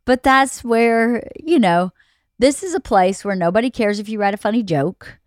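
A woman's voice: fairly high pitch, normal speed, no echo, studio quality. A woman in her late forties speaking in casual, intimate conversation as a podcast co-host, candid, reassuring and reflective. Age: late 40s.

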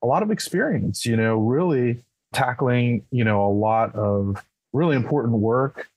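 A man's voice: medium pitch, animated, moderate pace, breathy edge, no room sound, muffled recording. A man co-hosting a podcast, asking the guest long, friendly, meandering questions. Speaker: podcast co-host. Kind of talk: podcast interview.

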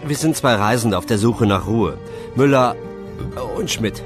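A man acting in a comedy radio play as an eager, bossy angel scientist who explains his ideas excitedly. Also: on the low side, a little slow, over music. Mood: keen, bossy. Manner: explains excitedly, thinking aloud.

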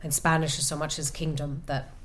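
A woman author answering audience questions about her novel, speaking thoughtfully with many pauses and restarts. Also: low-pitched, little pitch movement. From United States. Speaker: author at a reading. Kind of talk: book talk and audience Q&A. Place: event room with an audience.

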